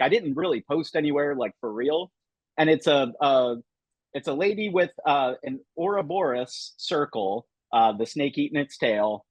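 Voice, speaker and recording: A man's voice: mid-range voice, slightly rough, no room sound, rough recording. A man hosting a casual roundtable discussion, talking enthusiastically with many fillers. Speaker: host.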